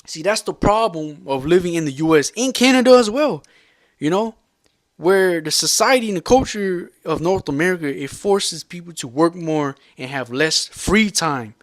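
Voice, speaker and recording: A man's voice: on the high side, lively intonation, moderate pace, no room sound, clean studio sound. A man ranting loosely to camera in a vlog, repeating himself and swearing for emphasis. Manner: rambling, repetitive, profane and emphatic. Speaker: vlogger. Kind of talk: unscripted rant to camera.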